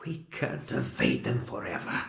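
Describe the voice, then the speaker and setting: An actor playing a grave, secretive monk in a video game cutscene, speaking in a theatrical, measured, archaic manner. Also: male voice, fairly low pitch, sing-song, creaky, a bit bright, full character voice. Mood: conspiratorial. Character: secretive monk, grave, ominous, conspiratorial male ally.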